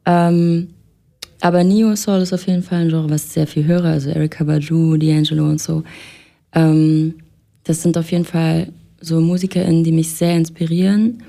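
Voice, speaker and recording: A young woman from Erfurt speaks with a low, mellow voice in a radio studio. She is a musician giving an interview, talking in a relaxed, unhurried way with frequent fillers and small restarts. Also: gravelly. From Erfurt, Germany. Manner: relaxed, hesitant with many fillers. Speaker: musician guest.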